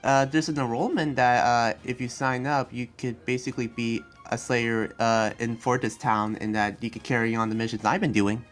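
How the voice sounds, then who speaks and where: A man's voice: medium pitch, fairly even intonation, a bit bright, lightly acted. Rambling, earnest demon slayer played by a man. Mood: earnest, friendly. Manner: rambling, hesitant, halting explanations. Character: earnest demon slayer guarding his town. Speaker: roleplay player. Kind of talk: improvised Minecraft anime roleplay dialogue. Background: background music.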